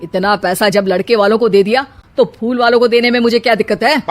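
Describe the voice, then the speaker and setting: A woman's voice: fairly high pitch, fairly even intonation, fast, close to an everyday voice. A woman voicing a frustrated, grumbling, sarcastic father in a cartoon family drama. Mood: irritated, sarcastic. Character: frustrated, blustering father of the bride. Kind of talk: Hindi moral-story cartoon dubbing.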